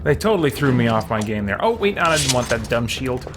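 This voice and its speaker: A man's voice: medium pitch, big pitch swings, a little fast, noisy background. A man chatting casually as he streams a game, reading on-screen text aloud and muttering mild exclamations to himself. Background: game music.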